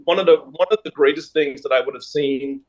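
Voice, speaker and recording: A Bahamian man answering conversationally as an interview guest, in a halting, hesitant way. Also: fairly high pitch, low-quality recording. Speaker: guest, leader of a Junkanoo group.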